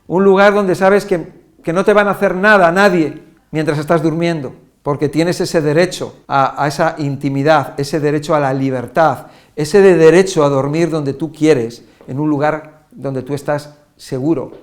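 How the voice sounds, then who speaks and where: A man from Spain with a Castilian accent and a fairly high voice teaches in a relaxed, roundabout way. He stacks up examples, restarts his sentences and circles back to the point. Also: normal speed. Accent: Spanish from Spain. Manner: repetitive, listing examples with restarts and fillers. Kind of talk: informal Spanish lesson.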